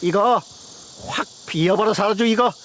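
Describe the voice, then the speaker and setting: A man's voice: sits high, moderate pace, a bit bright, a little hiss or hum. An actor playing an old village man, talking to his neighbor in a thick Jeju accent in a short dramatized scene. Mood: grumbling. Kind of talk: dialect drama reenactment.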